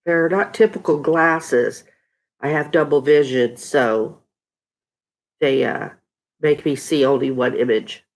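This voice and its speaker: A middle-aged woman talks in a somewhat low, nasal voice, thick from a stuffy nose. She chats casually with her viewers, greeting each one by name on a live stream from home. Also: slow pace, pauses often, low-quality recording. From Texas. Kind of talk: casual live chat reading viewer comments.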